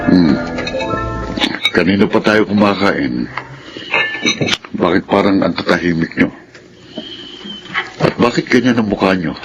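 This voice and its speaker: A man's voice: sits low, animated, measured pace, pauses often, highs cut off. A man playing a stern, anxious middle-aged father in a Filipino radio drama, questioning and insistent. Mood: probing. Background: music.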